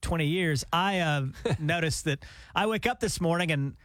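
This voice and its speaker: A man's voice: a little high, moderate pace, fairly bright tone, dry recording. Congested, nasal male radio co-host. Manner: chatty, laughs easily. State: stuffy sinuses. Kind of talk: casual radio banter.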